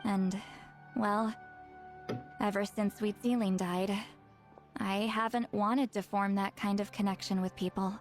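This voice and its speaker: A woman's voice: medium pitch, steady tone, a little slow, pauses often, close to an everyday voice. A woman voicing an aloof, centuries-old sorceress who talks in a reserved, matter-of-fact way. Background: faint game music.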